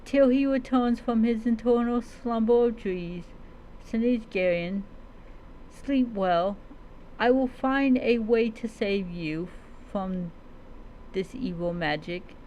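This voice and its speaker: A woman's voice: a little high, takes it slowly, dark tone, muffled recording, a little hiss or hum. A woman voicing a solemn old male dragon protector who takes human form, telling his tale dramatically in a fantasy roleplay. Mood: grave, resolute.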